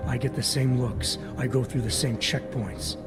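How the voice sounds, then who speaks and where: Male voice, actor playing a stern pragmatic agent, blunt, matter-of-fact, video game drama, clear music behind. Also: mid-range voice, steady tone, normal speed, close to an everyday voice. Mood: blunt, irritated. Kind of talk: video game cutscene acting.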